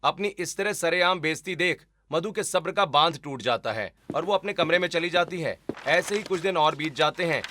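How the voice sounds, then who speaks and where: Bright-voiced male story narrator. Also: on the high side, normal speed, few pauses, a little hiss or hum. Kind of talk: scripted cartoon story narration.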